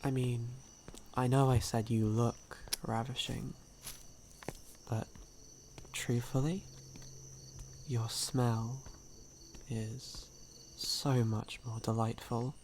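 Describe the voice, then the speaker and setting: A man playing a suave, sly, hungry male vampire in an ASMR audio roleplay, speaking in a teasing, drawn-out manner. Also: mid-range voice, little pitch movement, a little mellow, slight background noise. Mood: hungry, seductive. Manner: slow, drawn-out, teasing, with breathy chuckles.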